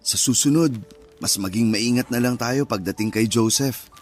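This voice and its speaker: A man's voice: mid-range voice, normal speed, natural voice. A man with a Filipino accent acting as a caring, patient young husband in a radio-style romance drama. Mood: calm, concerned. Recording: music under the voice.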